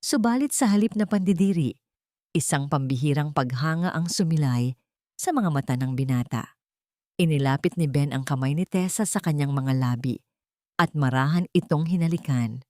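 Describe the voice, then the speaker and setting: A woman with a Filipino accent narrating a scripted love story in a measured, solemn, sentimental manner. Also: low-pitched, warm tone, no room sound, clean studio sound.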